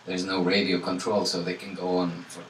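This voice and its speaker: A man from Tunisia, a guest musician answering audience questions in a relaxed way, full of "you know" and fillers. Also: deep voice, fairly quick. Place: indoor salon event. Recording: echoey room from far off, faint noise.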